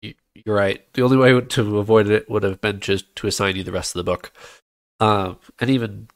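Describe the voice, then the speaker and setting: A fairly low-voiced man hosting a relaxed podcast chat, a little nasal because he is fighting off a cold. He steers the talk and passes turns to his co-hosts, and the sound is dry and close with almost no room. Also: fairly quick, no echo. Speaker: podcast host.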